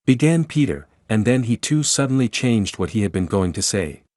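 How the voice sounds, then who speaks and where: Low-voiced man narrating a story. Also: big pitch swings, normal speed, darkish tone, no echo, studio quality.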